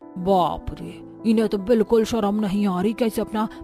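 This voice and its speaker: A woman's voice: medium pitch, steady tone, normal speed, mellow, soft background music, natural voice. A woman playing a judgmental middle-aged village aunty in a cartoon, gossipy and disapproving. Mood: scandalized. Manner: scandalized, disapproving.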